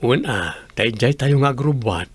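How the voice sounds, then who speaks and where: A man's voice: mid-range voice, expressive, moderate pace, breathy edge, dry recording. Humble young man, dry recording. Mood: friendly. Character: humble, earnest young working man from poverty. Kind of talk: radio drama acting.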